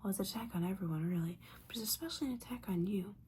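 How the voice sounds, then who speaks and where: A woman's voice: mid-range voice, rapid-fire, darkish tone, a little hiss or hum, natural voice. A woman playing a disappointed, sarcastic witch mentor in an ASMR roleplay, whispering breathily in a casual, sweary, scolding manner.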